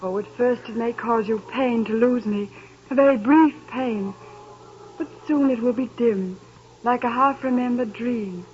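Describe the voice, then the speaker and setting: An actress playing a sorrowful young woman who breaks off her engagement, in a 1930s radio drama, with a gentle, resigned manner. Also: on the high side, unhurried, close to an everyday voice. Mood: tender, resigned. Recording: muffled noisy 1930s radio recording.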